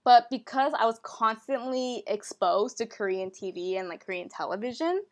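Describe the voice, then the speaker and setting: Young woman, podcast co-host, casual storytelling, relaxed, filler-heavy. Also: on the high side, moderate pace. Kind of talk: casual chat.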